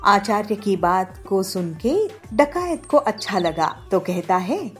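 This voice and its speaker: A woman's voice: medium pitch, very animated, takes it slowly. Woman narrating a moral tale over music. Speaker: storyteller narrator. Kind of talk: scripted moral-story narration for cartoon. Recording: music behind the voice.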